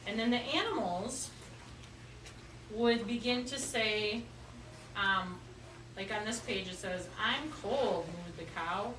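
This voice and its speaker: A woman's voice: medium pitch, slow pace, pauses often. A woman teaching young children in a classroom, patiently asking questions and repeating their answers back. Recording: echoey classroom, distant mic, noisy.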